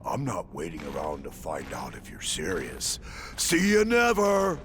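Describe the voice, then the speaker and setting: A man's voice: sits low, wildly swooping pitch, a little slow, a bit bright, slight background noise, heavily acted. An actor playing a boastful, theatrical wandering swordsman in a video game, with grandiose archaic speech and sudden outbursts. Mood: boastful, gleeful. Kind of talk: video game cutscene acting.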